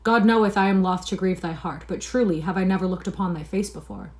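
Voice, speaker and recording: A woman's voice: lowish voice, quick pace, lightly acted. A woman reading aloud and voicing a proud, indignant boy prince who speaks with stiff formal dignity.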